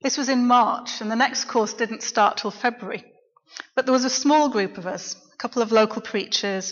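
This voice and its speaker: A middle-aged woman, a local preacher, giving a quiet, reflective personal testimony in church. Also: on the high side. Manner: soft-spoken, reflective. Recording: dull, echoey church recording.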